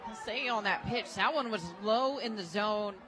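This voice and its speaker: American woman giving casual softball color commentary. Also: mid-range voice, normal speed. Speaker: color analyst. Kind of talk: live sports commentary, casual analysis. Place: radio booth at a ballpark.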